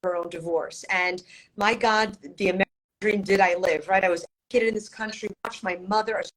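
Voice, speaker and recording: A confident middle-aged woman from Poland, Polish her first language, talking as a guest on a live stream. She tells her story with conviction, tossing in rhetorical questions, in a voice at an ordinary middle pitch. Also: steady tone, fairly quick, a bit bright, rough recording. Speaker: matrimonial attorney, guest.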